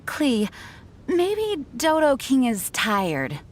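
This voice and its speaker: A woman playing a dutiful young knight commander in a video game, speaking in a measured, formal and earnest way. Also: high-pitched, wildly swooping pitch, slight background noise, heavily acted. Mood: gentle. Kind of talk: video game character acting. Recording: music behind the voice.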